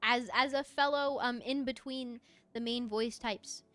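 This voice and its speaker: A woman chatting casually on a livestream as she plays, answering viewers in an expressive, encouraging, effusive way with a mezzo voice. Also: on the high side, unhurried, clean tone, dry recording. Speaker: VTuber live streamer. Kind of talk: casual livestream chat while gaming, answering viewer comments.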